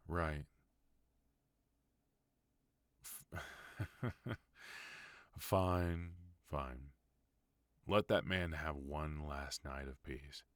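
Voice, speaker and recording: A tender but dangerous mafia boss husband, voiced by a man in an ASMR roleplay, speaking at an extremely low pitch. He takes his time over every word, soft and intimate, close to a dry, dead-sounding microphone. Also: no room sound. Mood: playful. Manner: soft, intimate, unhurried delivery. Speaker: voice actor.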